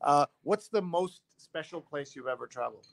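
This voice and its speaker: A man's voice: a little high, expressive, moderate pace, gravelly. A man hosting a live online show, interviewing in a casual, chatty way.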